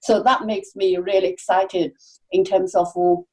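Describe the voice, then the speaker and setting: A woman speaking as a podcast guest, answering at length in a halting way with many fillers and restarts. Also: medium pitch, steady tone, a little mellow, poor audio. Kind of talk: conversational interview answers.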